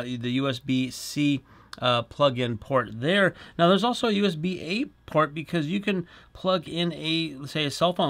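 A man's voice: fairly high pitch, fairly bright tone. A middle-aged man, chatty and explanatory, hosting a casual product demonstration in a small indoor studio. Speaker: product review host.

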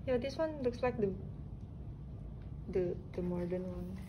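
Slow-talking woman vlogger touring an exhibit. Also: medium pitch, dull audio, background noise. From Philippines. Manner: slow, admiring remarks on exhibits. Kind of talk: casual vlog commentary. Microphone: handheld phone.

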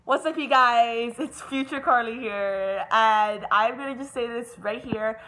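A woman's voice: on the high side, measured pace. A young woman vlogging to her phone at arm's length, bubbly, giggly and upbeat. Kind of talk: casual vlog to camera. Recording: noisy phone recording with crowd.

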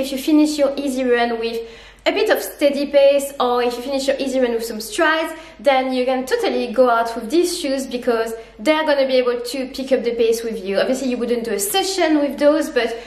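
A woman's voice: high-pitched, reverberant. A young woman with a congested, stuffy voice from a cold, chatting upbeat to camera as she reviews running shoes at home. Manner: chatty, upbeat, explains step by step. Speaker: running vlogger. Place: living room at home, indoors.

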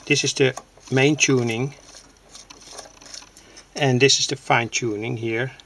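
A man talks in a mid-range voice full of vocal fry. He explains technical details plainly and haltingly, as a hobbyist would at his workbench. A faint noise sits behind him on the camera's microphone. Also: mid-range voice, fairly even intonation, bright, forceful tone. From Netherlands. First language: Dutch. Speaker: hobbyist radio builder, presenter. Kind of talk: unscripted technical demonstration. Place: indoors at a home workbench. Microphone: handheld camera's built-in microphone.

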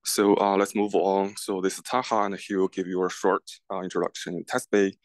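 A young man with a low voice shows visitors around a lab in an informal way. He speaks haltingly, often repeating words, and the sound is fairly dry. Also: flat delivery, no echo. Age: young adult. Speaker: graduate student tour guide. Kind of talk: informal guided lab tour. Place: large open indoor lab.

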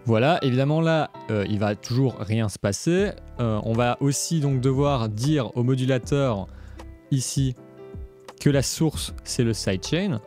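A man's voice: medium pitch, unhurried, darkish tone. A man presenting a music production tutorial in a casual, step-by-step explanatory way. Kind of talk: casual screencast tutorial narration.

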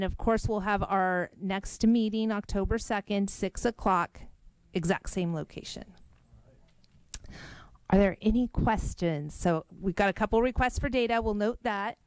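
A woman runs a public meeting with an upbeat, organized manner. Her voice is smooth and clear, close and dry on a table microphone, with little room sound. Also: mid-range voice, unhurried, no echo. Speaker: meeting facilitator.

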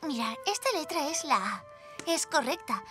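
A woman's voice: sits high, animated, normal speed, over music. A woman voicing a kind, well-mannered, encouraging young girl in a cartoon. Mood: patient encouragement. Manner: gentle, patient, instructive. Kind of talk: animated series acting.

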